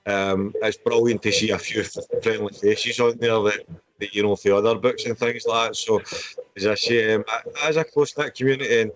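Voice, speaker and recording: Low-voiced Scottish man, rambling. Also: fairly even intonation, fairly quick, dull audio, a little hiss or hum. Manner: rambling, repeats stock phrases. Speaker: indie horror author and anthology publisher. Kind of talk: casual panel chat. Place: remote group video chat.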